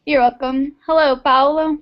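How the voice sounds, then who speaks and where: High-voiced patient woman teacher. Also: fairly even intonation, moderate pace. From Texas, United States. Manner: friendly, patient, encouraging. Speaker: English teacher. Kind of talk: online class small talk. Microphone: video call. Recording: muffled video-call audio.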